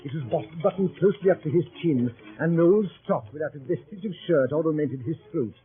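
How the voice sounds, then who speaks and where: An actor in a 1930s radio drama playing a formal club storyteller, narrating in a husky, pompous, declamatory voice. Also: male voice, a little high, brisk, narrow, tinny audio, close to an everyday voice. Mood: grand, narrating. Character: formal storyteller and club member reading minutes. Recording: thin scratchy 1930s radio recording.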